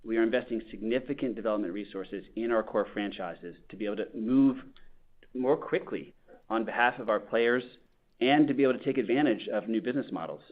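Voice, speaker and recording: A man, a company executive, answering an analyst's question on an earnings call in a measured, corporate way with frequent fillers. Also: on the low side. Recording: tinny conference call line.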